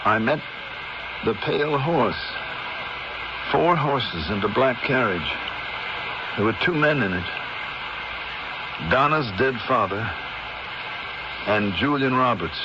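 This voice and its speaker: Formal, haunted gentleman revived from death, mid-pitched, on noisy old radio. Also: lively intonation, takes it slowly, long pauses, muffled recording. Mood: weak, haunted. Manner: formal, dramatic. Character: wealthy man back from death, weak, dignified, haunted. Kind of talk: 1970s radio drama acting.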